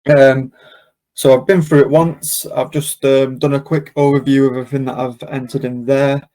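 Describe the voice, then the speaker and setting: Flat-toned man presenting a software webinar. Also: mid-range voice, moderate pace. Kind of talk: live software demo with spoken Q&A.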